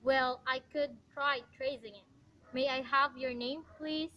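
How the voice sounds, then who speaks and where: A woman's voice: sits high, fairly even intonation, measured pace, dull audio. A woman in an amateur radio play, playing a polite telephone operator with a formal, unruffled official manner.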